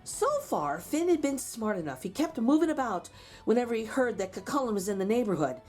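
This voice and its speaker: Female storyteller, animated and dramatic, reading to young children over soft music. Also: fairly high pitch, brisk. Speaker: storyteller reading a picture book aloud. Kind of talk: expressive read-aloud for children with chatty asides.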